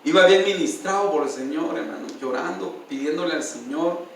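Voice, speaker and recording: A man's voice: a little high, fairly quick, vocal fry, a little hiss or hum. A male pastor preaching a sermon and sharing a personal testimony with feeling, often calling out to his listeners as brother. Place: church. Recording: distant, echoey church hall.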